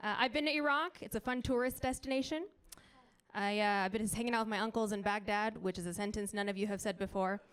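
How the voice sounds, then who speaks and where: A young woman from Colorado doing a stand-up set on a club stage, wry and punchy, with quick asides to the crowd. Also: medium pitch, steady tone. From United States (Colorado). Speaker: stand-up comedian.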